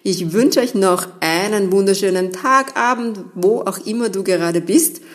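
A woman's voice: mid-range voice, normal speed. A woman hosting a solo podcast, explaining and advising listeners in an emphatic, encouraging manner. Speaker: linguist and podcast host giving family language advice.

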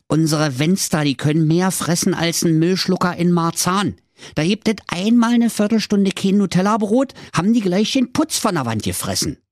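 A man voicing a poor old soft-hearted father in a fairy tale, grumbling indignantly in a Berlin accent. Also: high voice, expressive, moderate pace, no echo, clean studio sound, pitched above the performer's own voice. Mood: exasperated.

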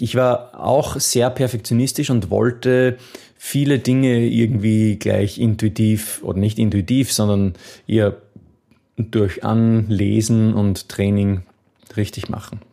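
A man talks through a viewer's question about memory techniques, his voice low and husky. He stays earnest and encouraging, with a gravelly edge and small restarts. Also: dark tone. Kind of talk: advice video answering a viewer question.

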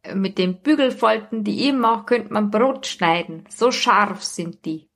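A woman's voice: medium pitch, a little slow, a bit bright. A woman chatting casually as a podcast guest, recounting things in a loose, tentative, rambling way.

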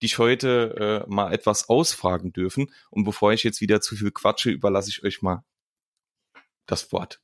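A man's voice: on the low side, steady tone, moderate pace, a bit bright, no echo, studio quality. A young man in his twenties hosting a casual podcast interview, rambling and friendly, with lots of fillers. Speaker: podcast host.

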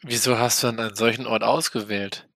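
A man as a gravelly, skeptical vampire. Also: medium pitch, fairly even intonation, fairly quick, fairly bright tone, no room sound. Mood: disapproving. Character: combative, skeptical male Camarilla vampire. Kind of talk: improvised tabletop role-play audio drama.

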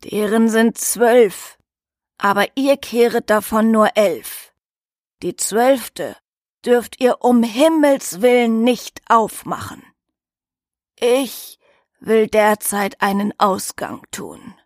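A woman playing an old woman, the ogre's wife, who warns and commands in measured phrases in a fairy-tale audio drama. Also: a little high, lively intonation, darkish tone, no echo, clean studio sound. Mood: stern instructing. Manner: slow, deliberate, measured phrasing.

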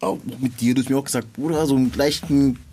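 A young man in a relaxed interview talks in a laid-back, slangy street way, at a middling pitch. He speaks as a rap guest. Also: quick pace, breathy edge. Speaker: rapper guest. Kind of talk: casual interview chat.

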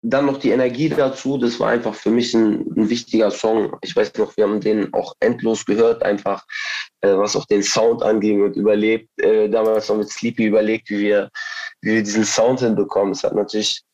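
A man's voice: on the low side, level tone, a little fast, darkish tone. A man talking as a guest musician in a casual, slangy chat, with slightly dull sound. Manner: colloquial, slangy.

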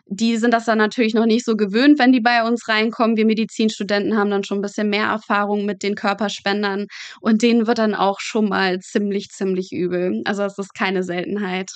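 A woman's voice: medium pitch, level tone, fairly quick, no room sound. A woman in her twenties, a medical student being interviewed, telling vivid stories in a frank, matter-of-fact conversational way. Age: 20s.

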